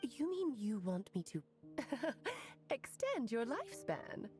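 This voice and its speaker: A lively, teasing mage. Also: female voice, a little high, slow pace, soft background music, full character voice. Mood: amused. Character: playful powerful woman mage.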